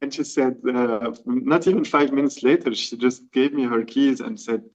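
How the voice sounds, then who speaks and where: A man's voice: mid-range voice, normal speed, rough recording. A man from Tunisia, a podcast guest, telling a personal story and acting out the dialogue. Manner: animated, acts out quoted dialogue. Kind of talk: storytelling in conversation.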